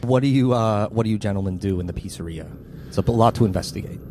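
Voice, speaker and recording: A man running a tabletop game as game master, narrating scenes in a measured, descriptive way and refereeing the rules. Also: fairly low pitch, noisy background.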